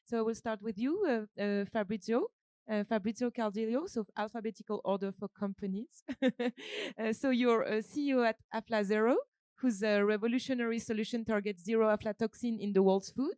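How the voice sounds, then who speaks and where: Smooth-voiced woman moderating a panel. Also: fairly high pitch, a little mellow, dry recording. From France. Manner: measured, with filler ums.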